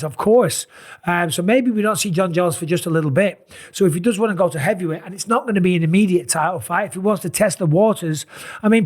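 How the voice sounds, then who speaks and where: A middle-aged man with a raspy, sore voice, tired and talking in an animated, casual way as he breaks down fight matchups. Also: high-pitched. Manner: animated, emphatic, rambling asides. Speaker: MMA analyst and channel host. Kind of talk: casual fight commentary to camera.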